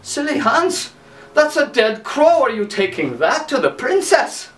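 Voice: high voice, big pitch swings, measured pace, pitched above the performer's own voice. A storyteller voicing proud older brothers who scoff in a raised, scornful voice. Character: mocking older brothers, proud young men. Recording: roomy, from a distant mic.

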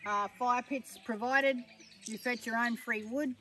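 A woman's voice: a little high, steady tone, unhurried, pushed and bright. A woman with an Australian accent, a farm stay owner explaining the rules in a plain, matter-of-fact way. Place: outdoors in a grassy bush clearing. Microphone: camera mic from a few metres away. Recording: distant outdoor mic, faint noise.

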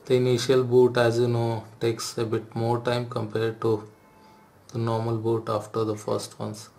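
A man's voice: medium pitch, a little slow. A man hosting a phone how-to video, explaining steps with frequent "uh" fillers. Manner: hesitant, frequent "uh" fillers, flat delivery. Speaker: tech video host. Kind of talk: phone tutorial walkthrough.

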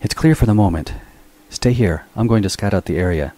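A man voicing a sharp-tongued woman bounty hunter with a blunt, mocking manner. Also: lowish voice, brisk, natural voice. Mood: businesslike.

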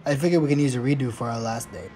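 A woman voicing a calm, dry teenage boy in a fan comic dub, talking in a flat, reassuring way. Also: a bass voice, rapid-fire, over loud music.

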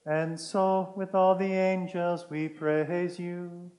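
A priest in his thirties leads the liturgy from a church altar. His voice sits fairly high for a man, and he intones the prayers slowly and solemnly. The delivery is even and deliberate. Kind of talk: leading mass liturgy.

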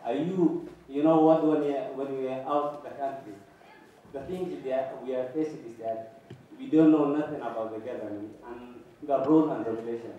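Halting man from far in echoey hall. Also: mid-range voice, a little hiss or hum. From Somalia. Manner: halting, searching for words. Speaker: audience member asking a question. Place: large lecture hall audience. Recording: echoey, distant, dull hall recording.

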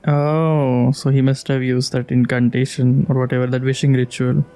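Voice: mid-range voice, level tone, moderate pace, warm tone. A game streamer giving casual, informal commentary with frequent giggly reactions. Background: game music.

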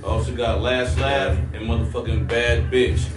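An older man tells his rap stories with loud, profane energy. He sits back from the camera in a small studio room, so his voice sounds distant and roomy. Music plays underneath. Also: medium pitch, little pitch movement, normal speed. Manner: loud, swearing, acts out quotes. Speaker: rapper, interview guest. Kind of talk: animated storytelling.

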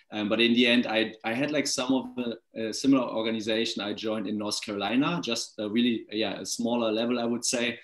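German man in his twenties, roomy webcam. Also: medium pitch. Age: 20s. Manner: relaxed, many fillers and restarts. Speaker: guest speaker. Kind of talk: casual video call talk. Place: small indoor room at home. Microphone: laptop webcam on video call.

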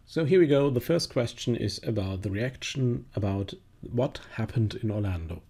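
A man who speaks English as a second language, commenting on a news clip with many halting fillers and restarts. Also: medium pitch, expressive, warm tone, husky. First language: not English. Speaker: video commentator. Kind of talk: casual spoken commentary.

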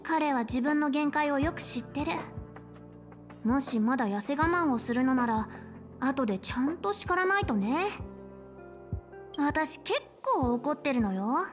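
A woman voicing a young, polite and caring space station chief in a Japanese game, speaking in a measured, articulate way. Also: high-pitched, lively intonation. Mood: worried, stern. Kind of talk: Japanese game voice acting, explanatory dialogue. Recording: game dialogue over background music.

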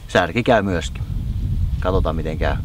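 A man's voice: deep voice, heavy background noise. A man in his thirties casually explaining his plan to the camera, recorded outdoors by a lake on a camera mic. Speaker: vlogger.

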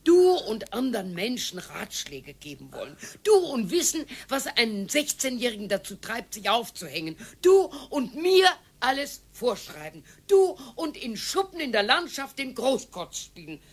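Radio drama: a sharp-tongued old wife, raspy, with lively pitch. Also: female voice, normal speed, hardly any pauses. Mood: scornful. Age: older. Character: fed-up older wife of a retiree.

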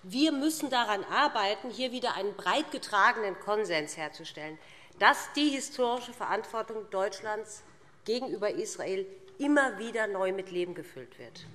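Middle-aged female politician, medium pitch, formal and emphatic podium delivery, long hall reverb. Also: animated, normal speed, a bit bright, slight background noise. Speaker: parliamentary speaker for a party group. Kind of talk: formal prepared political speech. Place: large indoor parliament chamber. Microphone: lectern microphone.

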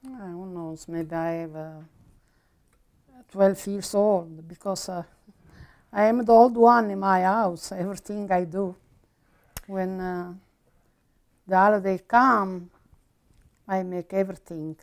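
Slow-talking elderly Italian woman. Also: medium pitch, steady tone. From Puglia, Italy. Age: older, grandmother. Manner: halting, simple broken English. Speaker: grandmother cook on a cooking show. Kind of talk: casual cooking chat. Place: home-style kitchen, indoors.

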